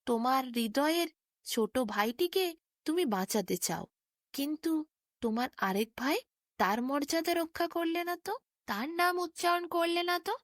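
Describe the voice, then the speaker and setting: A boisterous storybook demon, acted out by a woman, demanding yet just. The delivery is theatrical and fairly high, recorded close and dry. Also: a little slow, dry recording. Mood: mocking, chiding.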